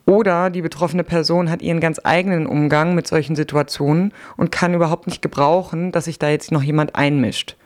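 A woman hosting a podcast, reading her scripted narration in a calm, explanatory way. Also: deep voice, brisk, hardly any pauses. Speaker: podcast host.

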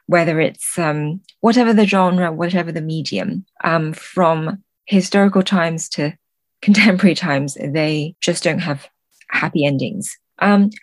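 A woman with a low voice speaks as a guest in a thoughtful interview. She explains her ideas in a measured, articulate manner. The recording is dry, with hardly any room sound. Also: no echo. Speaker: guest translator.

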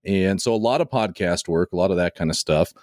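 A man with a lowish, slightly scratchy and hoarse voice talks in a loose, rambling way, often restarting his sentences. His podcast mic gives a close, dry sound with no room in it. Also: a little fast, darkish tone, dry recording. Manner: rambling, hesitant, with frequent restarts. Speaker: podcaster guest. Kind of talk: casual podcast chat. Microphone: home podcast mic.

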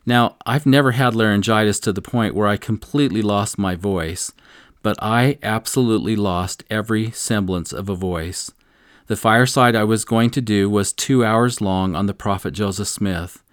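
A man co-teaching a scripture lesson, earnest and measured, slowing down to stress key points and sharing stories from his own life. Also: on the low side, dry recording, clean studio sound. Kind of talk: devotional teaching with personal stories.

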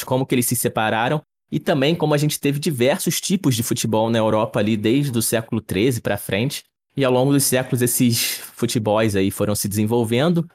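Young man, podcast co-host, relaxed explainer, mid-pitched, chatty. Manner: relaxed, explains with many tag questions. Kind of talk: casual explanatory chat.

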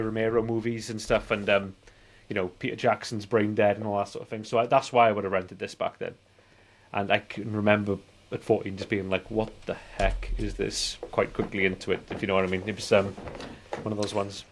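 A British man in his forties chatting casually as a podcast co-host, rambling and nostalgic. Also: fairly low pitch. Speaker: film review podcast co-host. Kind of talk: casual chat.

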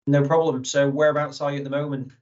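A man's voice: mid-range voice, flat delivery, fast talker, a little mellow, muffled recording. A man hosting an interview in a conversational way. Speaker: interviewer, host.

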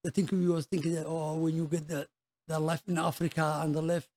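A man from Somalia, around his thirties, with a Somali accent, telling his story casually as an interview guest in halting, repetitive non-native English. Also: a little high, little pitch movement, darkish tone.

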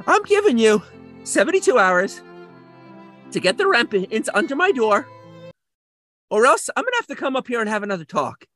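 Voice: mid-range voice, very animated, normal speed, long pauses, a bit bright, soft background music. A performer playing an aggrieved male landlord in a comedic audio play, rambling awkwardly in a whiny, exasperated way. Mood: stern, demanding.

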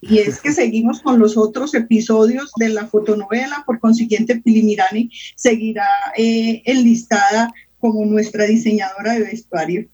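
A woman with a Colombian accent and a husky voice, co-hosting an unscripted interview. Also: on the high side, steady tone, moderate pace, warm tone, poor audio. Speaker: co-host.

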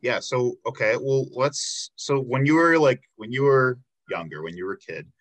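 A man's voice: mid-range voice, normal speed, a bit bright. A man in his twenties hosting a casual interview, laid-back and loose, with lots of fillers and casual swearing. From Chicago south suburbs, USA. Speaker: podcast host.

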